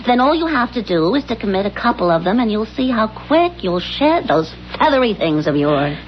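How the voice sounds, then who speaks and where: An actress playing a bossy, scheming wife who is insistent and brisk in a 1970s radio drama. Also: female voice, a little high, sing-song. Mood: brisk, decisive. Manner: insistent, brisk, thinking aloud. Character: bossy, scheming wife, impatient with her husband. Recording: muffled, noisy old radio recording.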